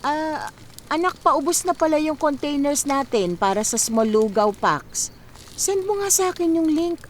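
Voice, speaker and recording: A woman's voice: high-pitched, big pitch swings, unhurried. An actress playing a practical, thrifty middle-aged Filipino mother in a radio drama, matter-of-fact in manner. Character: practical, thrifty mother running a food business. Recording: faint background noise.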